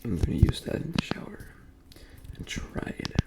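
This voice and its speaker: A young man from Denmark speaking soft, breathy ASMR in a gentle near-whisper, repeating phrases as he talks in a personal, motivational way. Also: moderate pace. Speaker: ASMR creator and host.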